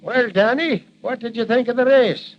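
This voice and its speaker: A man's voice: very high voice, moderate pace. An actor playing a folksy, streetwise policeman with colloquial tough talk, in old radio drama style. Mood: curious, chatty. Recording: dull, noisy old radio recording.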